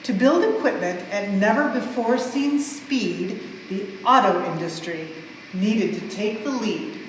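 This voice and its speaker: A woman reads a storybook aloud for children with expressive, measured emphasis on the rhymes. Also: medium pitch. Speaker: museum staff member reading a story aloud. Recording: very echoey large hall, some noise.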